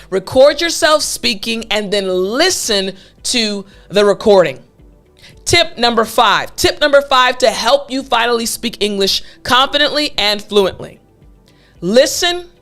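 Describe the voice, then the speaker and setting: Young woman teaching english, kinda high voice, emphatic and encouraging, faint music underneath. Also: fairly bright tone. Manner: emphatic, encouraging, repeats key points. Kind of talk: scripted lesson to camera.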